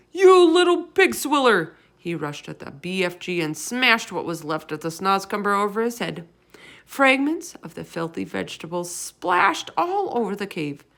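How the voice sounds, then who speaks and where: Lower-pitched woman reading a children's story dramatically. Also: gravelly, a bit bright. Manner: dramatic, drawn-out emphasis. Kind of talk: expressive scripted narration.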